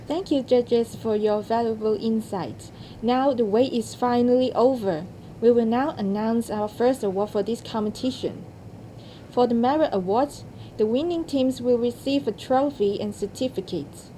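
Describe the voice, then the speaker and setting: A woman's voice: fairly high pitch, normal speed, dark tone, slight background noise, poor audio. A woman presenting awards from a script as ceremony host.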